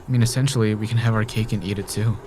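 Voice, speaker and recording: A man's voice: fairly low pitch, steady tone, rapid-fire, natural voice. A man acting in an audio drama as an obsessive, murderous man trapped in a time loop, speaking with pleading intensity. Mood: wistful, persuasive. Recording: noisy background ambience.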